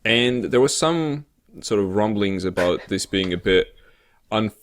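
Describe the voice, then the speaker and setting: A man with a fairly low voice co-hosts a casual internet talk show. His commentary is conversational and halting, often searching for the right word as he goes. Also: expressive.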